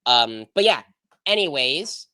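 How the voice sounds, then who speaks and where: A man's voice: mid-range voice, very animated, takes it slowly, sharp tone, no room sound, studio quality. A young adult American man hosting a podcast in casual chat, his voice congested from being sick. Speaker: podcast host.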